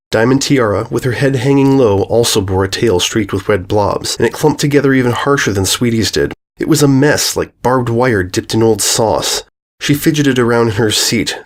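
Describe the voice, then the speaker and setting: A man narrating a scripted story as its reader. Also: medium pitch.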